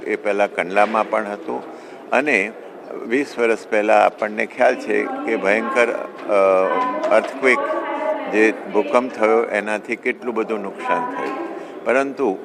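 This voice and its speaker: Low, creaky man amid clear noise. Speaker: conference expert being interviewed. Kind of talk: spoken news interview statement. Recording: clearly noisy location recording.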